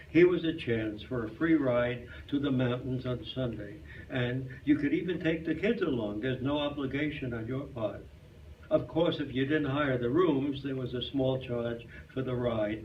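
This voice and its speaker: A man's voice: mid-range voice, normal speed, dull audio. A man giving a staged reading of a humorous memoir in a club hall. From Brooklyn, New York. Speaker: reader at a community readers theater. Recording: distant mic, noisy hall sound.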